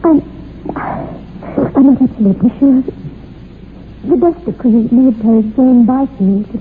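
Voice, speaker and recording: A woman playing a haunted, devoted young woman in an old radio horror drama, with dramatic, halting emphasis. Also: high voice. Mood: uncertain, concerned. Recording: tinny, noisy old radio recording.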